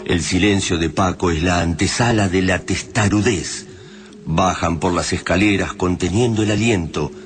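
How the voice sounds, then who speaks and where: A man's voice: deep voice, moderate pace. A man with an Argentine accent giving dramatic scripted narration for a radio play. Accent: Argentine Spanish. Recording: loud music bed behind narration.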